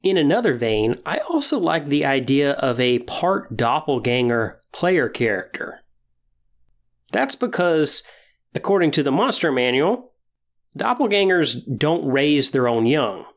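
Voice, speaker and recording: A man's voice: a little high, big pitch swings, unhurried. A man hosting a tabletop gaming podcast, explaining things in an informal, conversational way. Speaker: podcast host. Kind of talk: explanatory hobby podcast talk about tabletop role-playing games.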